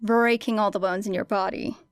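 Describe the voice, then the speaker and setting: A woman's voice: medium pitch, fairly even intonation, a little fast, clear, resonant tone, dry recording, natural voice. A woman voicing a weary, guarded teenage girl student with a dry, reserved delivery in an audiobook.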